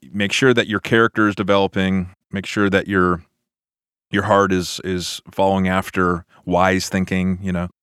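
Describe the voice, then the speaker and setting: A man's voice: low voice, flat delivery, moderate pace, a bit bright, clean tone, no echo, studio quality. A man hosting a podcast as a pastor, talking casually and reading questions.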